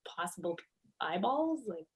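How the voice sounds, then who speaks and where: A woman's voice: on the low side, big pitch swings, unhurried. A woman filmmaker talking casually on a video panel, chatty with lots of "like" and laughing asides.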